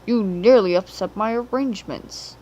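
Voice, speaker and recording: A woman's voice: medium pitch, normal speed, warm tone, slight background noise, lightly acted, poor audio. A woman playing a stern, pompous male railway controller in a storybook reading, commanding and emphatic.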